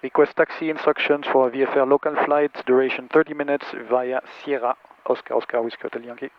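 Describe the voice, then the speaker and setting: A man's voice: mid-range voice, little pitch movement, fairly quick, no echo. A man who is a student pilot, practising radio calls to air traffic control in a tentative manner. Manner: tentative, checking with short questions. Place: small training aircraft cockpit. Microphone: aviation headset. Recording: muffled aircraft radio intercom.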